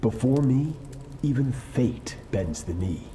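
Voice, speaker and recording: An actor playing a cold, ruthless male warlord who trains his apprentice, speaking in a slow, grave and contemptuous way. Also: mid-range voice, lively intonation, reverberant, noisy background. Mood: menacing. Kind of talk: game cutscene voice acting.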